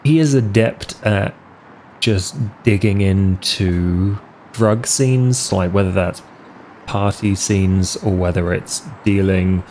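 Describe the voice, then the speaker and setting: Slow, low-voiced man playing a tabletop game. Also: a little mellow, slight background noise. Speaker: player in a tabletop roleplay podcast. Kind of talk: casual, improvised character description.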